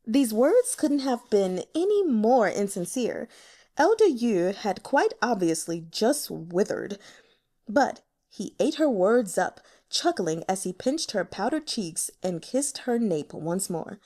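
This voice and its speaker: A woman's voice: medium pitch, very animated, takes it slowly, mellow. A woman reading fiction aloud as an audiobook narrator, in a dramatic storytelling style.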